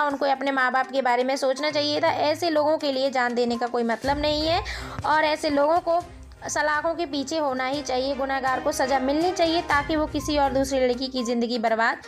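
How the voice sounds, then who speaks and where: A woman with a high-pitched voice presents the news for an online channel. She narrates in an informal way, speaking straight to her viewers. Soft music plays behind her.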